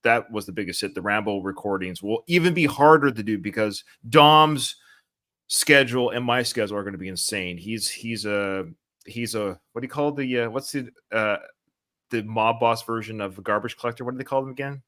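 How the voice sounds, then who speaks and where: A man's voice: on the low side, fairly quick, fairly bright tone. A tired man with a Canadian accent hosting a podcast, rambling, losing his thread and laughing at himself. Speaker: podcast host. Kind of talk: casual podcast chat.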